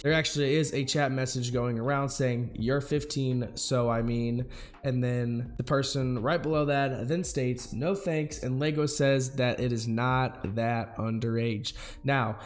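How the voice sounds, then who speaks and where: Young male host, voice hoarse from a sore throat, earnest and indignant, medium pitch. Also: a little mellow. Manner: earnest, indignant, talks to viewers as "guys". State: hoarse, losing his voice. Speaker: news channel host. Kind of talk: scripted commentary video.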